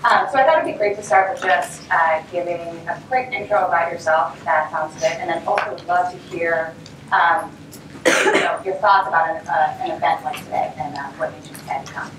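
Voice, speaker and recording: A woman's voice: fairly low pitch, steady tone, brisk. A woman moderating a conference panel in a casual, upbeat way with lots of fillers. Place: conference stage. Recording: roomy, distant mic, audible noise.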